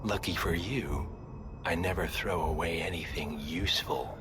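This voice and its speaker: A man's voice: low voice, normal speed, music in the background, natural voice. A man doing a smug whispering villain mastermind. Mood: gloating. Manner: soft, half-whispered and gloating. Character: sinister, smug older male mastermind. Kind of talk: video game cutscene acting. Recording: game cutscene with loud score.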